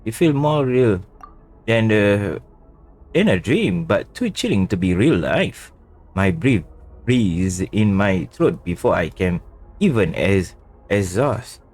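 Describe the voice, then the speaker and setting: A male game streamer reading dialogue aloud during a playthrough in a halting, stumbling manner. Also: lowish voice, big pitch swings, a little mellow, soft background music.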